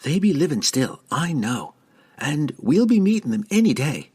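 A man voicing a rough, scheming female sailor who is held captive, talking in a coarse dialect. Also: fairly high pitch, sing-song, normal speed, a little mellow, voice pushed up. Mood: taunting. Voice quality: coarse dialect, dropped words.

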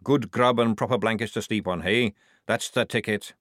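A man's voice: lowish voice, normal speed, fairly bright tone, no room sound, clean studio sound, lightly acted. Man voicing a blustering, hearty old army sergeant. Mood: brisk, sardonic. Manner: blustering, barking commands with folksy phrases.

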